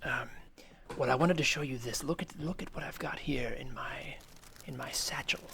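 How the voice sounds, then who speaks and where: A man voicing a hesitant, stammering scholar of the future, speaking formally and in hushed tones in an improvised comedy sketch. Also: medium pitch, moderate pace, slight background noise. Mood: conspiratorial, hesitant. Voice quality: hushed, creaky. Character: stammering male scholar of the future whispering furtively in library.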